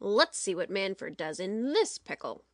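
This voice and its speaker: A man voicing a chubby, excitable, irritable producer in a comic story reading, with a moaning, exclaiming delivery. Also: sits high, normal speed, no room sound, studio quality, voice pushed up. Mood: exasperated moaning.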